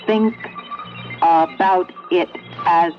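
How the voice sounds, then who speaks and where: An actress playing a sinister, coldly persuasive machine made of metal in a 1970s radio drama, speaking deliberately and commandingly. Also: female voice, on the low side, steady tone, dull audio, over music. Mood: menacing persuasion. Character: sinister, coldly persuasive sentient metal computer demanding obedience.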